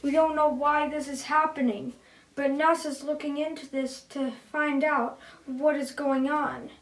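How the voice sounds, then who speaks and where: A woman reading a scripted mock emergency broadcast as its announcer, in a halting, amateur way with pauses mid-sentence. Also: sits high, steady tone, rough recording. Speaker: emergency broadcast announcer in a hobby scenario video.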